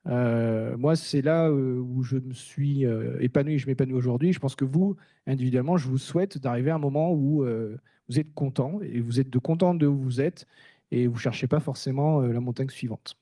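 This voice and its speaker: Soft-toned man, candid and halting. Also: medium pitch, no room sound. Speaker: staff engineer speaking on a panel. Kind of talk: informal first-person conference talk.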